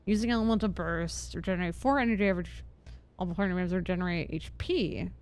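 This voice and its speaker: A woman's voice: fairly low pitch, fast, darkish tone, a little hiss or hum. A woman chatting casually and thinking aloud as she livestreams a game. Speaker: game streamer. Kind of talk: casual livestream gaming chat. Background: game music.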